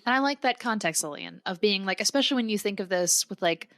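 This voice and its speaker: A woman's voice: mid-range voice, expressive, quick pace, fairly bright tone, dry recording, studio quality. A woman co-hosting a casual podcast, chatting playfully and agreeing with enthusiasm. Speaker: podcast co-host.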